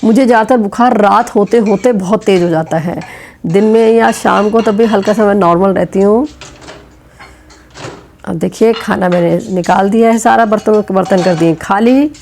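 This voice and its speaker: A middle-aged woman with a sore throat and fever talks her way through home chores in a chatty vlog. Her voice sits at an ordinary middle pitch, and she explains each step as she goes. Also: normal speed, darkish tone, a little hiss or hum. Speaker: vlogger. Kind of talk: casual home vlog narration. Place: home kitchen.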